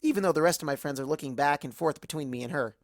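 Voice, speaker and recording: A woman voicing a perky, excitable, party-loving young female cartoon pony who narrates her own story. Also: sits low, quick pace, no room sound, clean studio sound, natural voice. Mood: chatty. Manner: perky, excitable, big swoops. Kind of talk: first-person fan fiction narration in character.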